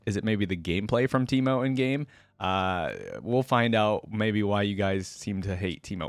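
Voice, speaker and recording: A man's voice: fairly low pitch, no echo. A man hosting a reaction video, switching between casual off-the-cuff commentary and reading text aloud.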